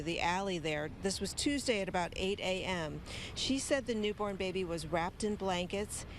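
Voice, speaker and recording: American woman reporting live from a noisy street. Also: mid-range voice. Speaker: live field news reporter. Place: outdoors on a city street. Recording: noisy outdoor street location.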